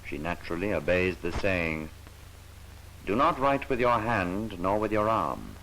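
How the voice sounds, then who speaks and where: A man with a very deep voice narrates an educational film in the manner of the 1950s. His delivery is solemn and measured, yet the pitch moves expressively through each phrase. The archival soundtrack carries some background noise. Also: very animated, fairly bright tone, poor audio. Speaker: documentary narrator.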